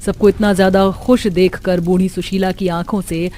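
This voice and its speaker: A woman's voice: medium pitch, flat delivery, moderate pace, dark tone. A woman giving scripted narration as the storyteller of a family tale.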